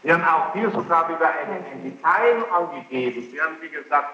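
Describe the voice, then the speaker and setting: A man's voice: a little high, animated, fairly quick, sharp tone. A man questioning a witness in court, probing and sceptical, often repeating the answers back. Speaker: courtroom examiner. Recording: muffled archival tape, echoing hall, faint noise.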